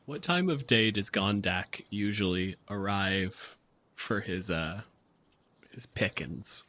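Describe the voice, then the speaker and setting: Male game master, slightly low pitch, casual improvised tabletop narration. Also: slow pace, bright, forceful tone.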